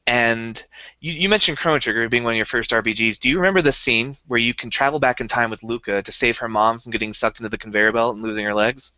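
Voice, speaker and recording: Bright-voiced young man chatting eagerly. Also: mid-range voice, fast, no room sound, muffled recording. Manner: rambling, eager explainer with many restarts. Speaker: podcast co-host. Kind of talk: casual chat.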